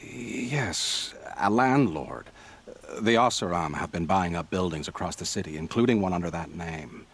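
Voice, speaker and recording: A man playing a cautious, deliberate court adviser in a video game cutscene. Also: sits low, vocal fry, breathy edge, full character voice. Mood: pondering. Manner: deliberate, measured.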